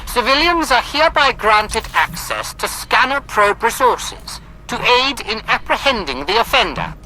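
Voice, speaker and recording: A stern Imperial announcer reads a formal public alert in a science-fiction game. The voice is fairly high and forceful, with lively, emphatic swings in pitch. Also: female voice, measured pace, exaggerated voice, low-quality recording. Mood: official, urgent.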